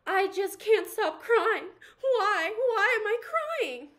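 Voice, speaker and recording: Woman doing a weepy, very high toy cloud. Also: very animated, takes it slowly, fairly bright tone, full character voice. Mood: tearful. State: mock crying.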